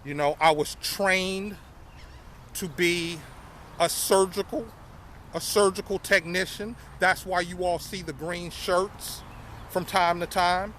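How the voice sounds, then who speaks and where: A man's voice: high voice, steady tone, slow, fairly bright tone, a little hiss or hum. A man in his fifties telling his life story casually to viewers, often repeating phrases and calling them y'all. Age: 50s. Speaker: vlogger.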